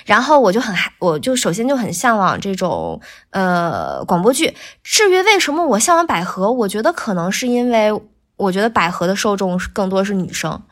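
A woman's voice: medium pitch, very animated, a little fast. Woman chatting casually in an interview. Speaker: guest voice actor.

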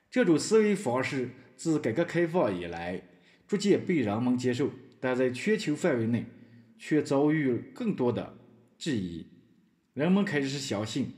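Casting: man as scholarly reporter on the witness stand, mid-pitched, animated intonation, expository and formal, for a podcast drama. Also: natural voice. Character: analytical newspaper reporter testifying as expert witness. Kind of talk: scripted expert testimony in podcast drama.

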